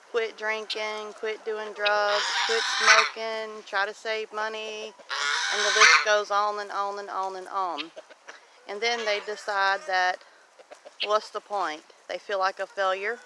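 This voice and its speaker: A woman of about fifty chatting casually as a homestead vlog host, reflective and plain-spoken. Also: mid-range voice. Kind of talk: casual walk-and-talk vlog. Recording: loud outdoor noise, clicks, honking geese.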